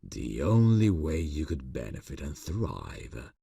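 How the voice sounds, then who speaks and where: A man playing an ancient, courtly vampire boss in an ASMR fantasy roleplay, seductive and quietly menacing, speaking formally and deliberately. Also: very animated, dry recording, studio quality, full character voice. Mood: persuasive, seductive. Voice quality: very deep, gravelly, breathy. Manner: slow, formal, silky, deliberate. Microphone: close microphone, dry sound.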